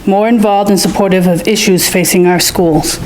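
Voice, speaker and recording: A woman's voice: medium pitch, fairly even intonation, moderate pace, a little mellow, slight background noise, rough recording. Chatty woman asking from the audience. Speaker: audience questioner. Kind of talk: public forum question.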